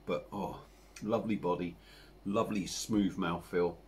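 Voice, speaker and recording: A man in his forties with an English accent, chatting casually to camera as he reviews a beer at his home bar, rambling and relaxed with lots of fillers. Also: sits low, unhurried, slight background noise. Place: home bar room with dartboard and signs.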